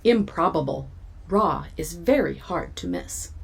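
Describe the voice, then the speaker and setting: Glitchy female spaceship AI, deadpan and clipped, lowish creaky voice, ambience behind. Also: measured pace, full character voice. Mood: flat, uncooperative. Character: malfunctioning female ship computer, curt and literal. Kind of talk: audio drama acting.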